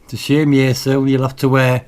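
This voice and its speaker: A man talking off the cuff as a radio hobbyist reviewing his gear, in a halting, repetitive, enthusiastic way. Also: medium pitch, monotone, darkish tone. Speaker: radio hobbyist presenting own channel. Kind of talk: casual off-the-cuff equipment review.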